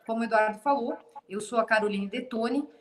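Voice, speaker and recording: A woman's voice: a little high, fast talker, a little hiss or hum. A woman from Santa Catarina, Brazil, a congresswoman guest speaking earnestly on a livestream and listing her points. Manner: earnest, lists points, some hesitations. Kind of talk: political livestream talk.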